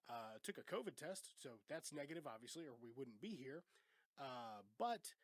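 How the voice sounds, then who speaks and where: A man's voice: mid-range voice, big pitch swings, normal speed, dry recording. A man hosting a casual movie podcast in a home studio, congested and sick with a cough, chatty and laid-back with casual swearing. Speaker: podcast host. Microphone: studio microphone.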